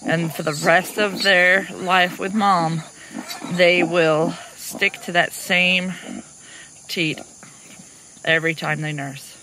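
A woman's voice: lowish voice, steady tone, slow pace, long pauses, noisy background. A woman on a homestead chatting casually as she films her animals outdoors, laughing often and talking sweetly to them. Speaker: homestead vlogger. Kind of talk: casual narration while doing farm chores. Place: outdoor farm pens and pasture.

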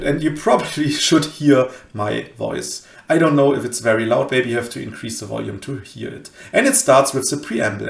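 Guy doing a screencast tutorial, bit of gravel in the voice, medium pitch, echoey room, kinda halting. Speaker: host of a cryptography tutorial channel. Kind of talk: casual software screencast tutorial. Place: small room indoors at a computer. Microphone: headset. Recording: roomy home recording.

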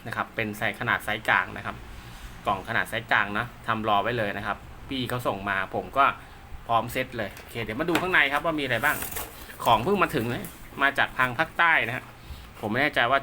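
A man with a bright, forward voice talks casually through hands-on technical work. He is polite and rambles a little, as if half talking to himself while handling gear. Faint ambient noise sits behind him. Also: medium pitch, a little fast. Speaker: radio technician and seller presenting his work. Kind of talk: casual hands-on unboxing commentary.

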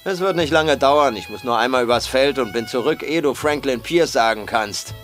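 A man's voice: medium pitch, moderate pace, fairly bright tone, faint music behind, natural voice. An actor playing a jovial, condescending male farmer of the 1850s in an audio drama. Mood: breezy, patronizing. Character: breezy, patronizing male plantation farmer of the 1850s. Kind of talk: German audio drama acting.

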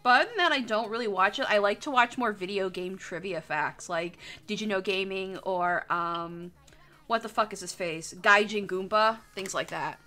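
A woman's voice: medium pitch, normal speed, sharp tone. A young woman chatting casually on a livestream in a jokey, sweary way. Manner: crude, sweary, jokey banter. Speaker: live streamer. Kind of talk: casual livestream chat while drawing.

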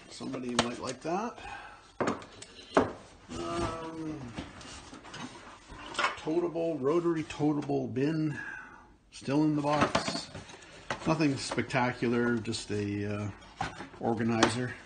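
A man's voice: mid-range voice, fairly even intonation, takes it slowly, vocal fry, long pauses, very noisy. A man casually showing off his auction finds, thinking aloud with fillers and musing as he goes. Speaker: picker and vlogger. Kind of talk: casual unboxing commentary. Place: indoors at a table, off camera.

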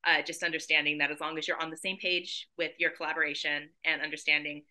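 A woman's voice: deep voice, fast. A woman in her forties, a photographer and guest, talking in a chatty, enthusiastic way over a video call from her living room. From San Diego, California. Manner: chatty, enthusiastic, animated hand gestures. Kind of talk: casual interview on a video call.

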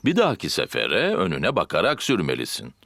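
A man voicing a big, kindly, playful daddy pig in a children's cartoon dub, with drawn-out fatherly exclamations. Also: sits low, wildly swooping pitch, a bit bright, no room sound, full character voice.

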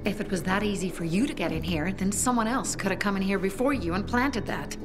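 A woman's voice: medium pitch, a little fast, lightly acted. An actress playing a tough young female faction leader in a video game, firm, urgent, defiant and protective. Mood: defensive. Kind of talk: dramatic video game acting. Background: game music.

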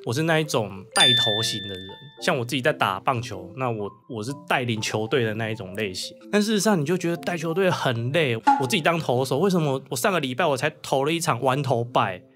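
A man's voice: medium pitch, animated, fast talker, hardly any pauses, fairly bright tone, faint music behind. A man from Kaohsiung with a Taiwanese Mandarin accent, talking casually as a guest novelist in an interview.

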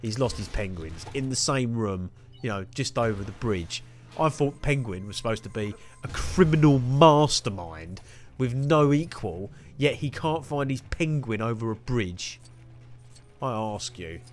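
A man's voice: mid-range voice, animated, a little slow. A young man giving a rambling, jokey walkthrough of a video game with self-mocking asides. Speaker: gaming video host. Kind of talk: casual gameplay walkthrough commentary.

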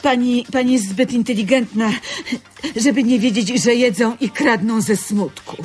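An actress playing a wistful, philosophizing woman in a radio drama, dreamy and deliberate. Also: fairly high pitch, darkish tone, faint music behind, close to an everyday voice. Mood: earnest, wistful.

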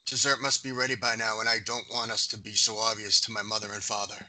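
A man's voice: lowish voice, level tone, fast talker, bright, forceful tone, rough recording. A man playing a proud, restrained, family-minded young boyfriend in a screenplay read. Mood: earnest, uneasy. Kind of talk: acted dialogue in a screenplay table read. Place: indoors on a group video call.

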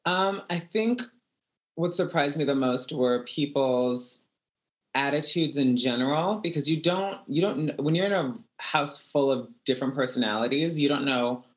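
A woman, a reality show contestant, giving reflective interview answers over a video call, with soft laughs between thoughts. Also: rumbling low, normal speed. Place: home room.

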